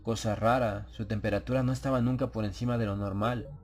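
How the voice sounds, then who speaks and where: A man narrating a dramatized horror audiobook in a measured, solemn storytelling manner. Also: on the low side, steady tone, a little hiss or hum. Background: ambient sound effects.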